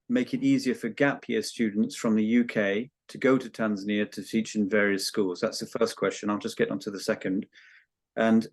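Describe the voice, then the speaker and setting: Low-voiced man chairing a meeting. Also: a little mellow. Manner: hesitant, repeats words. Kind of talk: chairing a question session.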